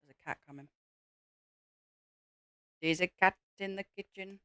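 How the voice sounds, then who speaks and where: A woman's voice: medium pitch, a bit bright, smooth, no room sound, clean studio sound. A British woman co-hosting a live stream, teasing and mocking her co-host in casual banter. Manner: teasing, mocking, quick to laugh. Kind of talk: casual banter while building.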